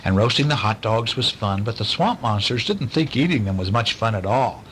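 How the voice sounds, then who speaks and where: A man tells a children's bedtime story in a bright, lively voice. His pitch moves around freely as he drops chatty asides to his young listeners. Faint noise and rumble sit under the recording. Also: moderate pace, poor audio.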